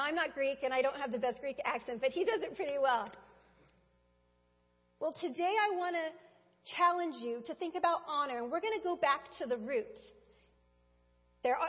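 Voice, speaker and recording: A woman preaching a Mother's Day sermon in a church, talking conversationally and speaking straight to the congregation. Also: sits high. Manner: conversational, addresses congregation directly. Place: church sanctuary. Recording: dull sound with long hall reverb.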